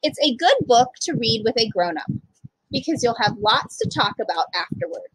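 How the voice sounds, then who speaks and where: A woman with a high-pitched voice reads a picture book aloud to young kids. Her intonation swings widely and expressively, and she addresses her little listeners directly. The sound is dry and close, with very little room in it. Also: very animated, moderate pace, husky, no echo, rough recording. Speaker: children's storytime reader. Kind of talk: read-aloud to young children.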